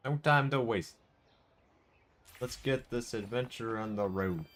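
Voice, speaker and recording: A man's voice: medium pitch, measured pace, fairly bright tone. A man livestreaming a video game with casual, playful, laid-back commentary. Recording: game music under the voice. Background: game music.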